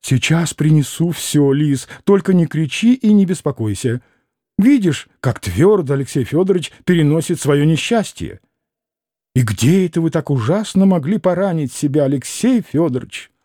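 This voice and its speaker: A man voicing a fussy, excitable middle-aged noble mother in a classic novel audiobook, gushing with theatrical exclamations. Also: fairly high pitch, normal speed, no echo, clean studio sound. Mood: flustered.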